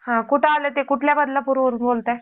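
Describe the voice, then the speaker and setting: High-pitched indignant woman on the phone. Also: fairly even intonation, fast. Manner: confrontational, firing indignant rhetorical questions. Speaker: prank-call video creator. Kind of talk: argumentative phone conversation. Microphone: phone call. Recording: narrow tinny phone-line sound.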